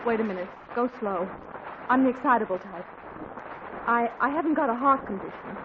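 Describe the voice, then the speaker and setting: A woman's voice: on the high side, a little fast, lightly acted. An actress playing a flustered but dryly humorous middle-aged mother in a 1940s radio comedy, heard through a hissy, dull old radio recording. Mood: wry.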